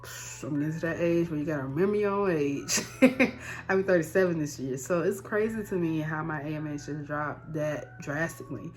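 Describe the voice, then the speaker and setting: A woman's voice: deep voice, fairly quick, a little mellow. A woman in her thirties talking candidly and conversationally in a personal vlog, repeating words for emphasis. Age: 30s. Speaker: vlogger. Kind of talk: casual personal vlog to camera.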